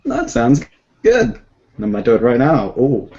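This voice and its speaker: A man's voice: mid-range voice, wildly swooping pitch, measured pace. A man co-hosting a casual fan chat, hesitant and stammering with many ums. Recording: lo-fi audio with faint noise.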